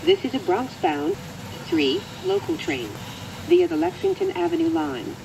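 An American woman reading scripted transit announcements in a measured, formal manner. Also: extremely high pitch, very animated, vocal fry, background noise.

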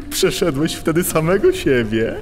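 A cocky, swooping cartoon master thief. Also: male voice, fairly high pitch, huge pitch swings, a little hiss or hum, full character voice. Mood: smug, taunting. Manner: smug, mocking, drawn-out theatrical delivery. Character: cocky, taunting animal master thief and gang boss. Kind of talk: children's audio drama acting. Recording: long cavernous reverb, music behind.

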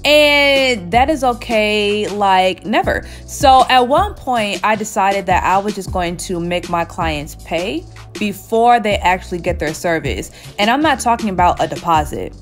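A woman's voice: medium pitch, expressive, a little slow, faint music behind. A woman in her thirties, a hairstylist, chatting casually while seated in her small salon, telling a story with lots of laughs. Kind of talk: casual storytime while getting a wig installed. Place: small indoor hair salon. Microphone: camera at chest height, seated facing it.

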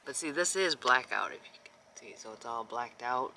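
A middle-aged woman chatting in a casual travel vlog, filmed on a phone held at arm's length, switching between hushed asides and excited bursts. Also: rumbling low, normal speed, a little hiss or hum. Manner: chatty, excitable, shifts between hushed whispers and loud exclamations. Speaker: vlogger. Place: inside a minivan, then outdoors. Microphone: phone camera at arm's length.

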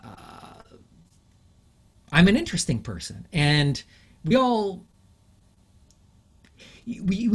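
Thoughtful middle-aged man, animated delivery. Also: a little high. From England. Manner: thoughtful, animated, with frequent fillers and laughs. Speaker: interview guest, artist. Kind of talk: reflective interview conversation.

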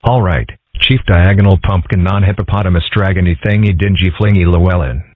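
A man's voice: deep voice, brisk, dry recording. A man voicing a stern, exasperated attorney general on the telephone in a comic strip dub, speaking formally. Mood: weary officiousness. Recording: muffled narrow phone-like sound.

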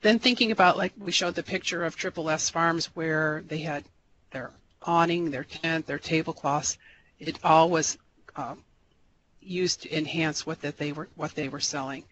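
A man giving a recorded slideshow lecture as an extension educator, relaxed and rambling, with many fillers and restarts. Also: fairly high pitch, normal speed, highs cut off, a little hiss or hum. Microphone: voice-over for slides.

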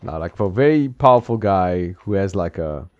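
A low-voiced man in his thirties riffs his way through a game, swinging his pitch up and down as he jokes and chuckles at the characters. His voice sits dry and close on a desk mic, a little dull in tone, with game music playing faintly underneath. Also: animated, normal speed, darkish tone, no echo. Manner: joking, laughs often, talks to the game. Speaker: gameplay streamer. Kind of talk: casual let's-play commentary.

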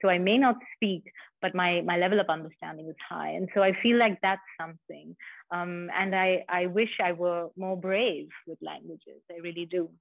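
A woman's voice: on the low side, normal speed, creaky, dry recording. A woman poet from India, speaking thoughtfully in an interview and often repeating words as she reflects. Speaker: poet and guest. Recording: dry, tinny phone-like line.

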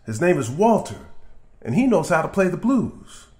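An eager young boy voiced by a man, pitched high and lively. He sounds earnest and excited, pleading his case like a kid in a children's storybook. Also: normal speed.

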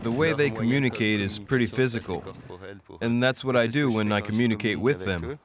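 A man in an interview, speaking in a calm, thoughtful, slightly wistful way. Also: medium pitch, fairly quick, crowd noise. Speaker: musher. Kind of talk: reflective interview.

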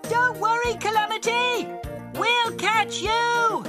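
A woman's voice: very high voice, steady tone, slow, loud music behind the voice. A woman voicing a cheerful, helpful cartoon bus, eager and upbeat. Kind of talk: cartoon voice acting.

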